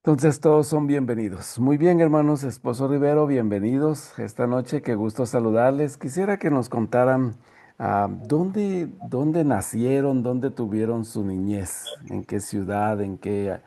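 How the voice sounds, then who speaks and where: A man's voice: mid-range voice, unhurried, warm tone. A man hosting an online interview in a courteous, formal way. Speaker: interviewer and host.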